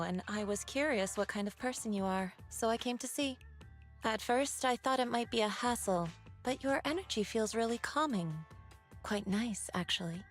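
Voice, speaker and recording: An actress playing a serene, self-assured martial master in a video game, speaking in a composed, measured and slightly cryptic way. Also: female voice, on the high side, natural voice.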